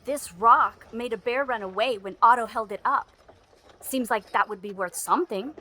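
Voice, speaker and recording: A woman's voice: on the high side, moderate pace, bright, forceful tone. An actress playing a proud young married frontier woman in an audio drama, curt, formal and assertive. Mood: matter-of-fact.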